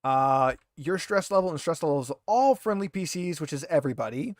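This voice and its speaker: Male game master, fairly high voice, close dry sound, informal rules explanations. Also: expressive, brisk, dry recording. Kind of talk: narrating a tabletop game, casual.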